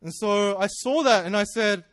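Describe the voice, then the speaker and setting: A man's voice: high voice, moderate pace, a bit bright, no room sound, studio quality. A man, preaching in a chatty, self-deprecating storytelling way that often breaks into laughs. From Australia. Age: 30s. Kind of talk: personal storytelling sermon.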